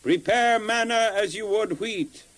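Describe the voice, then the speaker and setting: A solemn prophet in a dramatized Bible story. His voice is high for a man and he proclaims slowly, weighing each phrase. The temper is stern and grave, like an address to a whole nation. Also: fairly bright tone. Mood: solemn, proclaiming. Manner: declamatory, solemn, deliberate.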